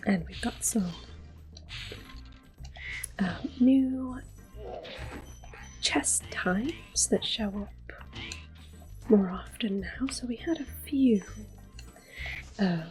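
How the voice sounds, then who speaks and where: A woman speaking softly and whispering in a gentle, unhurried, rambling way as she gives casual ASMR commentary while playing a video game. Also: fairly high pitch, low-quality recording. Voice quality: soft-spoken, whispery. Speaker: gaming video host. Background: game music and controller sounds.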